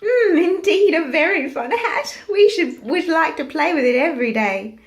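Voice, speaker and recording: A woman's voice: high voice, expressive, moderate pace, pitched above the performer's own voice. A woman voicing playful, teasing monkeys in a children's storybook.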